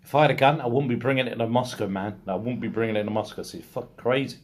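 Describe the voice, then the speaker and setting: A man's voice: mid-range voice, steady tone, fast talker. A middle-aged British man telling rambling personal stories casually, in a blunt, emphatic way with plenty of swearing. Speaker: video blogger.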